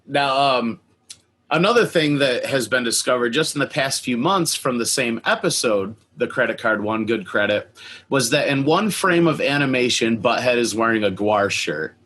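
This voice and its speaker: A man's voice: mid-range voice, animated, moderate pace, a bit bright. An American man chatting casually as the host of a fan interview, with a mid-range voice.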